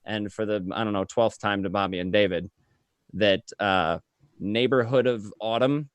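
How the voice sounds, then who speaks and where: A man hosting a casual, excitable panel chat as interviewer. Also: lowish voice, normal speed, clean tone, no room sound. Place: remote group call from home.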